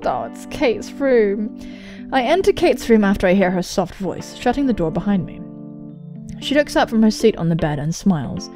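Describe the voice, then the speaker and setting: A young woman hosting a let's play, reading a visual novel aloud and commenting on it with excitable, sweary exclamations. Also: mid-range voice, moderate pace. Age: young adult. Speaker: gaming video host. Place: indoor room at home, on webcam. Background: game soundtrack music.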